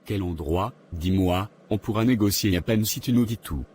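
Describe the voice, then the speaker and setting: A lowish male voice with faint background noise. A man plays a calm animated counsellor, probing in a formal, measured manner. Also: lightly acted. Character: calm adult counsellor questioning a troubled young man. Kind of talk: measured dialogue in an animated short.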